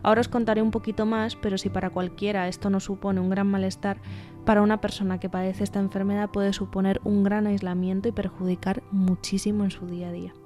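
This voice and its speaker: Female podcast host from Spain, soft-toned mellow delivery, scripted narration over music. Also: mid-range voice, level tone, quick pace. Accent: Spanish from Spain. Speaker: podcast host and art therapist.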